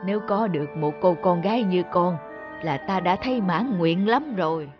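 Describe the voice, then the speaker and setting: Woman playing a gentle, lonely old village woman. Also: fairly low pitch, normal speed, lo-fi sound, over music, lightly acted. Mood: tender. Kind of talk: cartoon folk-tale voice acting.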